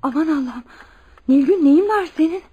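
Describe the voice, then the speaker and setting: An actress playing a warm, welcoming married woman in a radio drama, expressive and reassuring. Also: high-pitched, moderate pace, highs cut off. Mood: alarmed.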